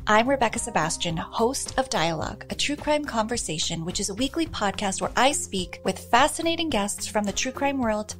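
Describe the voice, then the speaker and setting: A woman podcast host delivering a scripted promo, addressing listeners directly. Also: medium pitch. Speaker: true crime podcast host. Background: music.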